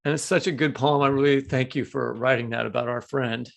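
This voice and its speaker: A man's voice: medium pitch, fairly even intonation, brisk, vocal fry. A man co-hosting a conversational literary interview, earnest and discursive, often correcting himself as he goes. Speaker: podcast co-host.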